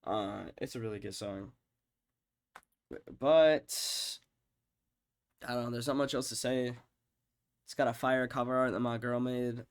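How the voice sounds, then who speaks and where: A congested young man with a nasal voice, his nose clearly stuffed up from being sick. He rambles casually in a mid-pitched voice, swearing and filling gaps with "um" and "like". He often lets his sentences fade into a mumble. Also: steady tone, unhurried, pauses often. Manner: rambling, profane, many fillers, trails off quietly. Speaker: music producer ranking his own songs. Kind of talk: rambling casual screen-share commentary.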